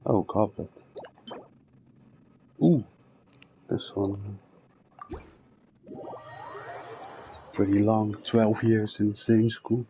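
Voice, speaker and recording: A man's voice: on the low side, level tone, very slow, long pauses, muffled recording, slight background noise. A man streaming a video game, chatting casually and thinking aloud as he plays. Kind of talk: casual chat while gaming.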